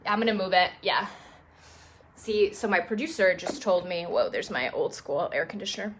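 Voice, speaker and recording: A woman presenting traffic and news live from her apartment dining room on a phone, chatty off air and brisk on air, a little sniffly. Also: mid-range voice. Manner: chatty and self-deprecating off air, brisk and upbeat on air. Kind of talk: casual live stream chat and on-air traffic report.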